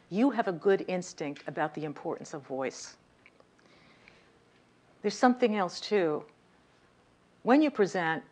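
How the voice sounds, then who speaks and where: Woman, workshop speaker, slightly high voice, lively lecture, asks the audience questions. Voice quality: voice a little high, by her own account. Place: lecture room.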